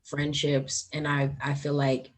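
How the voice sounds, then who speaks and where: Woman, 20s, podcast host; low husky voice, loose friendly chatter. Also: completely flat delivery, a little slow. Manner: chatty, upbeat, lots of "like" and "um". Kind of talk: casual podcast chat.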